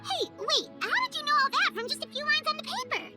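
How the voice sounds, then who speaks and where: A tiny, excitable fairy girl for a game cutscene. The voice is extremely high and squeaky, swooping animatedly in a cutesy, inquisitive way. Prominent music plays behind it. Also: fast talker. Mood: puzzled, incredulous. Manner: cutesy, exclamatory, speaks of self in third person. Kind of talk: video game cutscene voice acting.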